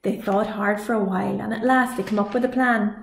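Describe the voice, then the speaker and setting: A woman reading a children's story aloud in an expressive storytelling way. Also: mid-range voice, fairly quick, vocal fry, slight background noise. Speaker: librarian reading a picture book. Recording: echoey room.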